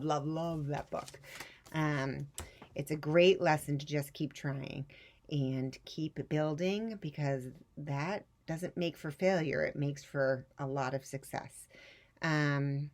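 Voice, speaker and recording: A woman reading a children's storybook aloud with measured, dramatic emphasis. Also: deep voice. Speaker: storytime reader. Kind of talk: expressive children's storybook narration.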